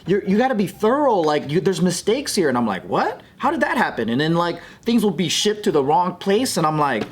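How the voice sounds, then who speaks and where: A man's voice: sits high, sing-song, a little fast, husky. Casual man telling a story, swearing freely. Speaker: talk show commentator. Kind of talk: casual group banter, storytelling.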